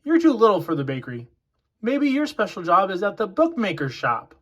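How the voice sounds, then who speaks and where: Man as an apologetic, higher-pitched brother elf baker. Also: huge pitch swings, fairly quick, fairly bright tone, lo-fi sound, heavily acted.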